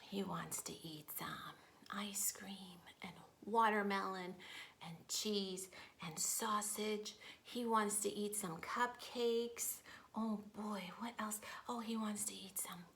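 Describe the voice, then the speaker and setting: A woman teaching yoga storytime to young children, encouraging and playful, with expressive storytelling and hushed, breathy moments. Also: medium pitch, slow. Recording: roomy echo with faint noise.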